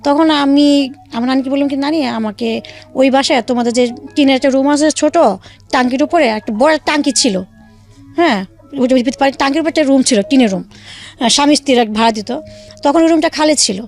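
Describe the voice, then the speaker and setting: A young woman from Bangladesh, telling her life story to an interviewer in a halting way with many fillers. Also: high-pitched, a little mellow, faint music behind. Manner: halting, many fillers and restarts, re-enacts dialogue. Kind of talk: casual spoken storytelling.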